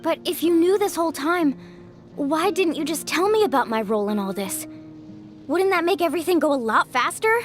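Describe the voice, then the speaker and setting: A woman's voice: high voice, lively intonation, fairly quick, soft background music. A woman voicing an eager young woman warrior hero in a game, earnest and questioning. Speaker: game character.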